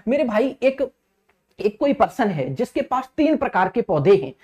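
A man's voice: extremely high pitch, big pitch swings, moderate pace, a little mellow. A man in his thirties teaching exam maths step by step, repeating points and checking that listeners follow. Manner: instructive, repetitive, often addresses listeners as friends and checks understanding. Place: indoor teaching studio at a digital board.

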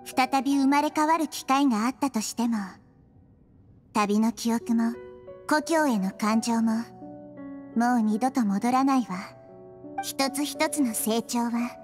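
A woman voicing a small, wise, gentle girl deity in an anime-style game, soft and thoughtful. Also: a little high, a little slow, pauses often, faint music behind, lightly acted. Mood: reflective.

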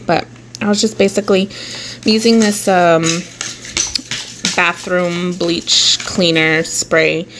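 A woman's voice: on the low side, level tone, takes it slowly, pauses often, noisy background. A young woman talking casually to viewers as she cleans a small home bathroom, her voice picked up by a camera set nearby. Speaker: cleaning vlogger.

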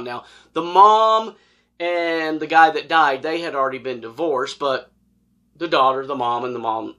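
A middle-aged man with an American accent telling a personal story casually, in a folksy, animated way and acting out dialogue. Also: medium pitch. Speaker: storyteller, former law enforcement officer. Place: small wood-paneled room indoors, seated on a couch.

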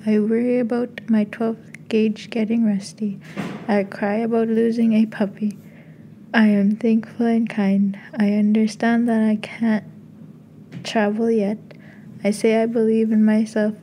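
Mellow, flat-toned woman reading aloud. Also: a little high, slight background noise, low-quality recording. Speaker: poem reader. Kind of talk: reading repetitive poem aloud.

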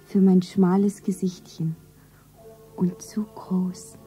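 Eine Schauspielerin spielt in einem Hörspiel eine junge, naive Verkäuferin, zärtlich und sentimental verliebt, mit weicher Stimme. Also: female voice, lowish voice, flat delivery, slow pace, warm tone, soft background music. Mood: wistful. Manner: naive, sentimental, self-narrating. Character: slender young shopgirl, tender, wistfully devoted lover. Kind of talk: German radio play acting.

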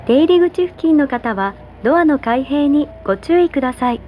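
A woman giving scripted subway announcements in a polite, measured, carefully enunciated way, over a train PA. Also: high-pitched, lively intonation, lo-fi sound. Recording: train PA with vehicle noise.

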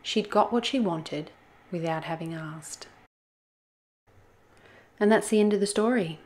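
A woman reading a children's storybook aloud as host, in an expressive style. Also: lowish voice, a little slow, a little hiss or hum. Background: soft music.

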